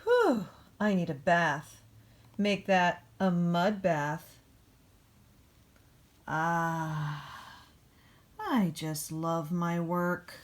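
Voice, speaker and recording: An eager storybook backhoe loader, proud of its dirty work, as a woman reads it out. The delivery is playful and emphatic, very slow and deliberate, with words set well apart. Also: fairly low pitch. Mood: cheerful, boastful. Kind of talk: picture-book read-aloud.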